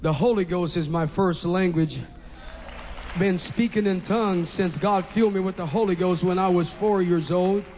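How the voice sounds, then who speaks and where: A man preaching a fervent Pentecostal sermon in careful English, his first language being Portuguese, often rising to shouts. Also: high voice, a faint crowd, a little hiss or hum. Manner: halting, non-native English phrasing. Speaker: pastor. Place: large church hall. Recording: reverberant hall, faint music behind.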